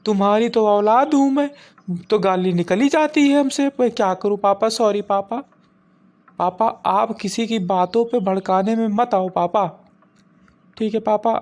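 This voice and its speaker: A woman's voice: mid-range voice, unhurried, a little mellow, lightly acted. A woman voicing a wayward, foul-mouthed son in a comic cartoon, whining and pleading defensively. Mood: pleading, vengeful. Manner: whiny, repetitive pleading.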